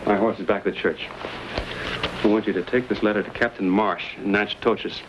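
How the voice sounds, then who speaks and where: An actor playing a brash, plain-spoken frontier fur trader with a conscience in an old western. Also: male voice, lowish voice, steady tone, fairly quick, dull audio, lightly acted. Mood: determined. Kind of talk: 1950s western screen acting. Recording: noisy old TV western soundtrack.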